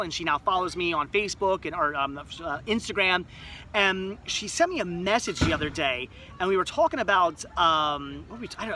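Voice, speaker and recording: Man, late thirties, high-pitched, very bright tone, chatty and rambling, outdoors. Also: slight background noise. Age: 30s. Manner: chatty, rambling, self-mocking. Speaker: livestream host. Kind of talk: casual livestream chat reading comments. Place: outdoors at a resort.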